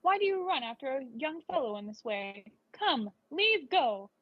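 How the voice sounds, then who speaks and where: A woman's voice: high-pitched, big pitch swings, moderate pace, no room sound. A woman voicing scolding, astonished churchmen calling out in old-fashioned phrases in a fairy tale read-aloud. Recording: dull, lo-fi, dry recording.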